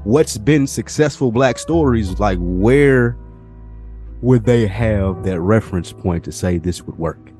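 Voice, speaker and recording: A man with a low voice talks plainly and bluntly in relaxed slang on a podcast. Music plays clearly under him the whole time. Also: slow pace. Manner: direct, blunt, laid-back slang. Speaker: podcast co-host. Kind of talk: casual chat.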